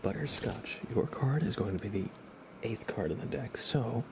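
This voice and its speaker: A man speaking softly and gently in a casual ASMR livestream style, often drifting into a whisper. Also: mid-range voice, expressive, normal speed, noisy background. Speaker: ASMR live streamer, host. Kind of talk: soft-spoken ASMR livestream, casual chat and tarot reading.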